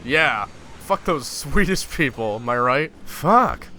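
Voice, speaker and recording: A man's voice: mid-range voice, lively intonation, slow, pushed and bright, a little hiss or hum. A young man from Finland, a gaming YouTuber, ranting in a casual, exasperated and sarcastic vlog.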